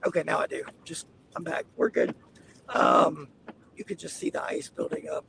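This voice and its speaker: Raspy man streaming from his car. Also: on the high side, level tone, moderate pace, a bit bright, a little hiss or hum, low-quality recording. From Southeast Georgia. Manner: easygoing, addresses viewers as y'all. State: getting over the flu, hiccups. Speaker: weather livestreamer. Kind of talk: live storm-chasing stream commentary. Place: inside a car, driving on icy roads.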